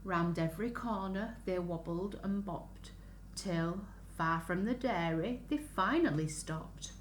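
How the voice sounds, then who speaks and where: A woman reads a picture book to little children in a slow, sing-song voice that rises and dips widely. She often turns to the listeners with simple questions. The room is echoey, with faint noise behind her. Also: lowish voice, animated. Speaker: children's story reader. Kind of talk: picture-book read-aloud for young children. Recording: roomy echo, faint background noise.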